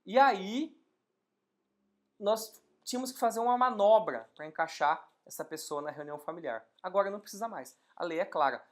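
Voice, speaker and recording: A woman teaching an exam-prep law class, explaining step by step and posing rhetorical questions with lively emphasis. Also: mid-range voice, normal speed. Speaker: law instructor. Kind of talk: exam-prep legal lecture.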